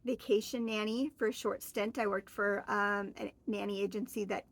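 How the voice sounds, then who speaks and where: A woman's voice: medium pitch, steady tone, moderate pace, dry recording. A woman in her forties from Oregon, chatting on her own to camera in a rambling, friendly way. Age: 40s. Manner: chatty, rambling, friendly, with fillers and self-corrections. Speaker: vlogger. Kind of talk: casual solo chat to camera.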